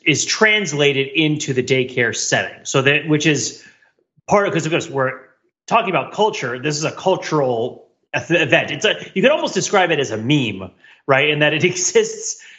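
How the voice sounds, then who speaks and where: A man's voice: medium pitch, pushed and bright, lo-fi sound. A middle-aged man hosting a podcast, talking in a discursive, wry, academic way in casual discussion. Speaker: podcast host.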